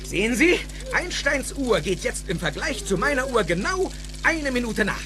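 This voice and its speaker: An actor playing an excitable, eccentric older scientist in a German audio drama, theatrical and emphatic, with precise technical phrasing. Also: male voice, extremely high pitch, normal speed, noisy background. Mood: proud, explaining.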